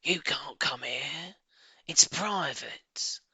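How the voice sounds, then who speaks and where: A man's voice: a little high, very slow, bright, forceful tone, breathy edge, no room sound, exaggerated voice. A man voicing a snappish, possessive little rat in a storybook, in a hissy, strained voice. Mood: snappish, defensive. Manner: snapping, indignant. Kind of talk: storybook character voice.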